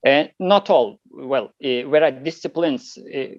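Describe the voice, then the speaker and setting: A man's voice: mid-range voice, dry recording. Lithuanian man, non-native English, hesitant answers, dull dry sound. Podcast guest. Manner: halting, many fillers and restarts. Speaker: competitive shooter guest.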